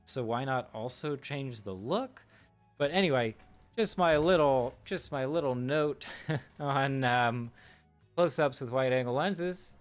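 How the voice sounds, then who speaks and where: A man's voice: mid-range voice, slow pace, faint music behind. A man streaming live, talking through film technique in a casual, musing way with fillers and restarts.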